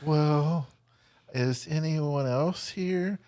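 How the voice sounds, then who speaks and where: A man playing a shy, awkward young girl who gives halting, rehearsed sales patter in a comedy script read. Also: on the high side, warm tone. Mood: hesitant.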